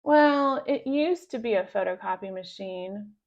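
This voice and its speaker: A woman's voice: medium pitch, lively intonation, measured pace, muffled recording. A woman voicing a nerdy, self-important boy inventor who speaks pompously and precisely in a children's storybook. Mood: proudly boastful. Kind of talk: children's storybook read-aloud.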